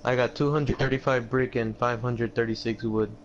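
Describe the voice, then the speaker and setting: A man's voice: medium pitch, fairly even intonation, moderate pace. A young man playing a team video game, making casual, repetitive callouts to his teammates over voice chat. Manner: repeats short callouts. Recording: dull, noisy game voice chat.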